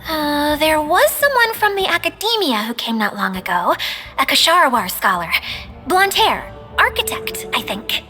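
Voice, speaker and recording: A woman's voice: high-pitched, big pitch swings, moderate pace, pushed and bright, exaggerated voice. An actress voicing a shy, eager female caretaker mascot in a video game, who talks in a nervous, self-conscious, apologetic way. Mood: fretful. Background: game music.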